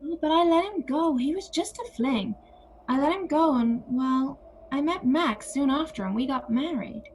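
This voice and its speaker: A woman's voice: high-pitched, normal speed, darkish tone, a little hiss or hum, low-quality recording. A woman playing a defensive, flighty, exasperated sister in an audio play, dramatic and full of sighs. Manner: dramatic, sighing, often opens with "oh".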